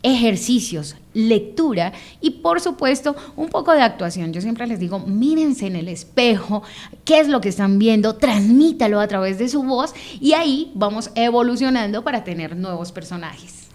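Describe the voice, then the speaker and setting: A woman's voice: fairly high pitch, normal speed. A woman from Bogotá with a Bogotá Colombian accent, a voice actress explaining her craft in an interview and demonstrating voices as she talks. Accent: Bogotá Colombian Spanish. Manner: expressive, demonstrates voices mid-sentence.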